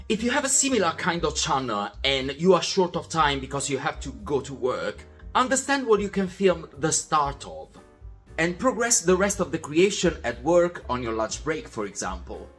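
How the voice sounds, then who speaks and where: Man, young adult, animated, friendly and methodical, scripted vlog voiceover. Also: medium pitch, husky. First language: Italian. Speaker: vlog host.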